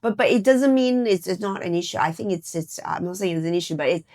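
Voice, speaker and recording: A woman's voice: fairly low pitch, animated, fast talker, darkish tone. A middle-aged woman from Canada, born in Hong Kong, chatting as a podcast guest in a reflective way, often checking in with "right?" and "you know". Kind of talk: casual personal interview chat.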